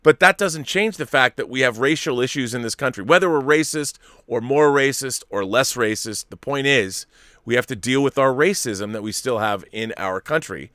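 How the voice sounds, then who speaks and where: A man's voice: medium pitch, lively intonation, moderate pace, a bit bright, no room sound. Rambling opinionated middle-aged man, dry sound. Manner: rambling, self-interrupting, opinionated. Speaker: host reading viewer super chats. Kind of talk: casual live-stream commentary.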